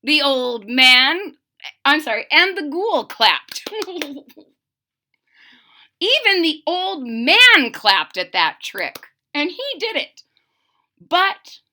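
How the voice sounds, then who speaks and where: A woman reading a storybook aloud to young children, expressively, with direct asides to the listeners. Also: high-pitched. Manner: deliberate, animated, addressing children directly. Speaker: storybook reader.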